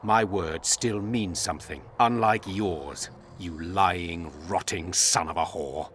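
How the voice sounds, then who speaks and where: Stern royal constable, fantasy game. Lowish voice, formal authoritative diction, slight background ambience. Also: male voice, slow, a bit bright, slight background noise. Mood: threatening. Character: stern, dignified male royal constable and commander. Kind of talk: video game dialogue acting.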